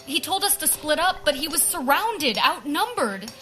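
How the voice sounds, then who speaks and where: An actress playing an earnest, idealistic young heroine in a radio drama, with soft music behind her. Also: high-pitched, big pitch swings, moderate pace, full character voice. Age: young adult.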